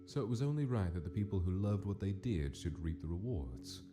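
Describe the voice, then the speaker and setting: A man's voice: deep voice, expressive, brisk. A man giving dramatic scripted narration for a story in a comic dub. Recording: loud music under the voice. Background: music.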